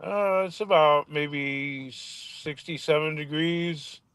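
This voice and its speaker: A man's voice: fairly high pitch, slow, fairly bright tone, clean tone, dry recording. A man with a Chicago accent chatting as a guest on a livestream, easygoing. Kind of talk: casual livestream chat.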